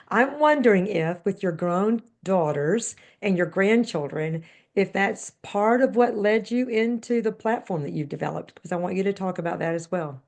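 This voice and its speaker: A woman's voice: fairly low pitch, darkish tone. A woman hosting a faith-based podcast interview, chatty and a little rambling. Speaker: podcast host.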